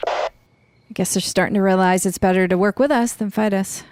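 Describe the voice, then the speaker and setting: A woman's voice: mid-range voice, fairly even intonation, moderate pace, a little mellow, slight background noise, natural voice. An American actress playing a tough, guarded female leader of a neighborhood militia in a naturalistic post-apocalyptic radio drama. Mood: guarded, tender.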